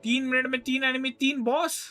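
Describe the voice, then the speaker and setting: Very fast-talking Indian game streamer. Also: high voice, fairly even intonation, bright, forceful tone, faint music behind. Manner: code-switches between Hindi and English. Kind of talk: casual live gameplay commentary.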